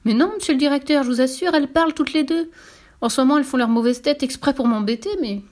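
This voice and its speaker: Woman acting male tramp, eager, scheming, pleading, insistent, high-pitched, storybook character. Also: fast, darkish tone, natural voice.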